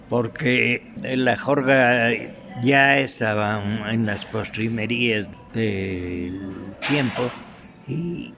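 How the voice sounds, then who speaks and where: An older man with an Ecuadorian highland accent reminisces in an interview, halting and anecdotal. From Quito, Ecuador. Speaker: veteran radio theatre actor being interviewed. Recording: noisy room recording.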